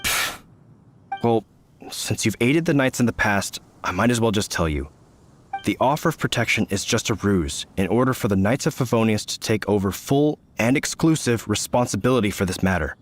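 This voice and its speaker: An actor as a calm knight commander in a game, measured and a little wry. His voice sits lowish and even. Light noise sits under the audio. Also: lightly acted. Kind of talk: video game cutscene dialogue.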